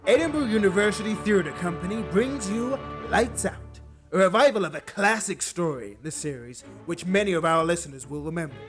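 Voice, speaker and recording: A man's voice: sits high, lively intonation, fairly quick, music in the background. A man as announcer, reading a scripted old-time radio introduction in a formal, ominous manner.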